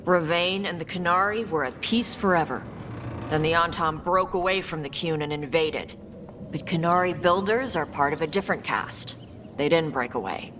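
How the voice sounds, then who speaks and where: A woman's voice: deep voice, normal speed, close to an everyday voice. Actress as tough dragon hunter lady in a video game, terse and blunt, lots of game noise behind. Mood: matter-of-fact. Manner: terse, blunt, matter-of-fact. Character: blunt, tough Qunari dragon hunter woman from a seafaring land. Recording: game sound effects over voice.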